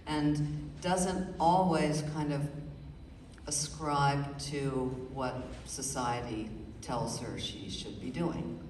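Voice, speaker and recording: A middle-aged woman, an author, speaking thoughtfully and with many pauses in a live radio interview. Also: deep voice, darkish tone, slight background noise. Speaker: author guest. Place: stage before a live audience. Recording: roomy hall, far from the mic, audible ambience.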